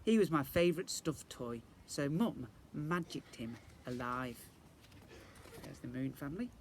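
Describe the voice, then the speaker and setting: A man's voice: mid-range voice, expressive, unhurried. A man narrating a children's storybook like an infant school teacher, expressively and directed at young listeners. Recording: faint background noise.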